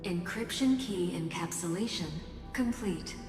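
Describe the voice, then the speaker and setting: An actress playing an impassive computer system in a game, giving announcements in a flat, procedural way. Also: female voice, on the low side, measured pace. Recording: echoey, long reverb, music behind. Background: music.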